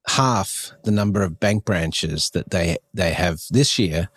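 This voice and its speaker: A man's voice: low voice, takes it slowly, fairly bright tone, husky, dry recording. A man hosting an interview podcast in a conversational, discursive way. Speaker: podcast host.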